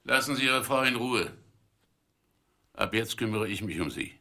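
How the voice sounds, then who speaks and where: An actor playing a stern, gruff police officer with a commanding, condescending manner in a radio drama. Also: male voice, fairly low pitch, animated, moderate pace, bright, forceful tone, full character voice. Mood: firm, calming.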